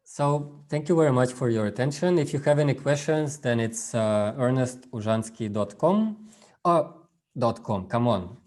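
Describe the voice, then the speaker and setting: A man whose first language is Russian gives an informal workshop over a video call, speaking haltingly with many fillers and restarts. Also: mid-range voice, a little mellow. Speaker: workshop presenter. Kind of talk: informal online workshop talk.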